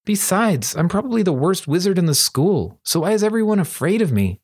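A man's voice: high voice, very animated, a little fast, darkish tone, breathy edge, no echo, studio quality, pitched above the performer's own voice, exaggerated voice. A man voicing a defensive, self-deprecating young wizard schoolboy who protests and mumbles, in a storybook reading. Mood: defensive, glum.

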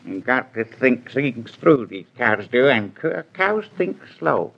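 An old New farmer, gruff and plain-spoken with a slow drawl and a medium voice. The sound is dull, as in a 1940s radio recording. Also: male voice, medium pitch, very animated, exaggerated voice. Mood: plain folksy. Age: older. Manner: plain, drawling rural speech. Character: gruff old male New England farmer, hides soft heart.

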